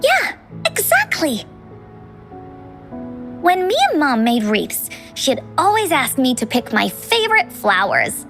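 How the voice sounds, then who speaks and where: A woman voicing a cheerful, imaginative little girl in an anime-style video game, earnest and excitable. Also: high-pitched, slow pace, pauses often, soft background music, heavily acted. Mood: bright, excited.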